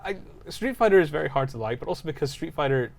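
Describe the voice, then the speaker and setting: A man co-hosting a podcast, discussing films casually and telling anecdotes in an informal, animated way. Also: fairly high pitch, fast talker, creaky, slight background noise. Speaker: podcast co-host.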